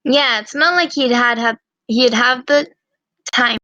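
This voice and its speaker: A woman with a fairly high voice reads character lines in an amateur, halting way. The sound is dry, without room echo, and slightly muffled. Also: sharp tone. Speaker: reader voicing female characters in a fan visual novel. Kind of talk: amateur character dialogue reading.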